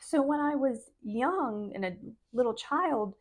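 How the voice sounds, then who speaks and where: Middle-aged woman, soft voice, slightly high, earnest casual vlog delivery. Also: expressive, slow. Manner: earnest, chatty, laughs between thoughts. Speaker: spiritual vlogger. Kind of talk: casual talk to camera.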